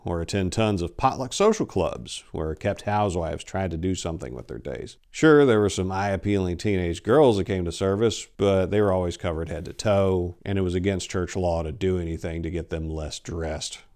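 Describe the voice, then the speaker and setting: Low-voiced man reading a story dramatically. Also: darkish tone. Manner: theatrical, deliberate. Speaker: host, author reading own short story. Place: indoors, book-lined room. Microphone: lapel mic.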